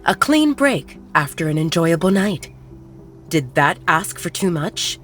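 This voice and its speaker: A woman's voice: lowish voice, big pitch swings, unhurried, fairly bright tone. A woman narrating a scripted romance audiobook in a dramatic storytelling style. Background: faint background music.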